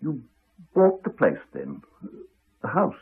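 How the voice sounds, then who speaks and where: An actor playing an elderly retired village doctor in a radio drama, halting, courteous and rueful. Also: male voice, medium pitch, wildly swooping pitch, gravelly, husky, soft background music, heavily acted. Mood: uneasy. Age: older. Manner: halting, apologetic, rambling, courteous. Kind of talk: 1980s radio drama acting. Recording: muffled narrow-band 1980s radio recording.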